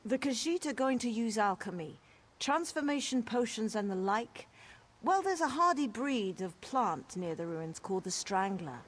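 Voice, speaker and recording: A mischievous fantasy-game elf who plans chaos with delight. Her voice sits a little high. A faint hiss runs under the game dialogue. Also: very animated, moderate pace. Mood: scheming. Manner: conspiratorial, gleeful. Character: mischievous elf woman plotting pranks. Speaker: quest giver in a fantasy game.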